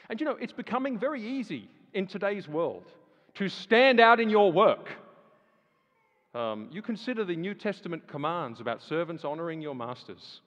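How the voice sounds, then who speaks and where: Guy preaching in a big echoey hall, higher voice that jumps around a lot. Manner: emphatic, rhetorical questions, swings from loud to hushed. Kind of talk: sermon. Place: large church hall. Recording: long reverb of a large hall.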